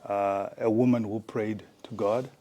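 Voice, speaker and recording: Man from zimbabwe, forties, lowish voice, halting, many fillers, casual interview guest. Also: steady tone, mellow. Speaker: guest, former rugby player.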